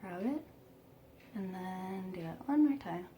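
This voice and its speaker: A woman giving a casual makeup tutorial, chatty and self-deprecating, a bit croaky today, filming herself at home. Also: on the low side, animated, measured pace, mellow, slight background noise. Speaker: beauty vlogger.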